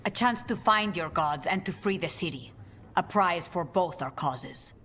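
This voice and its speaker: An actress playing a persuasive guild leader in a fantasy video game, poised and theatrical. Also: female voice, lowish voice, lively intonation, a little slow, a bit bright, slight background noise. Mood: commanding, persuasive. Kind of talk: video game dialogue acting. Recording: game music underneath.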